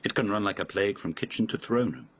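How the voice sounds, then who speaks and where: An actor playing an arrogant, sneering court nobleman in a radio drama, condescending and husky. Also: on the low side, expressive, fast talker. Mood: mocking, gossipy. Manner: haughty, condescending, drawling innuendo.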